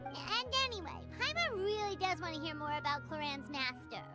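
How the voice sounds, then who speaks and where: A woman's voice: very high voice, moderate pace, music in the background. A woman voicing a tiny, food-loving female fairy companion who talks about herself in the third person. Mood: eager. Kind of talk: game cutscene voice acting.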